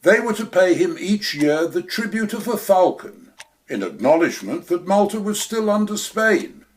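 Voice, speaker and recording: A pompous, silky schemer with a slightly high voice that rises and falls a great deal, as a man acts him out. He lectures at length in a florid, long-winded way in this radio play. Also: big pitch swings, normal speed, a little hiss or hum. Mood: scheming. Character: suave scheming old male treasure hunter. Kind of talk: community radio play acting.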